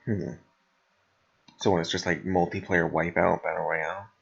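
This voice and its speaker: Deep, gravelly young man, casually swearing. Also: steady tone, moderate pace, dull audio. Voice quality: slightly raspy, gravelly. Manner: rambling, blunt. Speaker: podcast co-host. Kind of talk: casual chat, heavy swearing.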